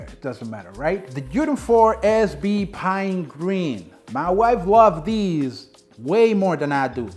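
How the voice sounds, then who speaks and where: Dominican guy, spanish is his first language, kinda high voice, casual chatty review, says stuff twice, faint music behind. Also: darkish tone. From Dominican Republic. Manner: chatty, repeats points for emphasis. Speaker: sneaker reviewer. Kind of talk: casual product review to camera.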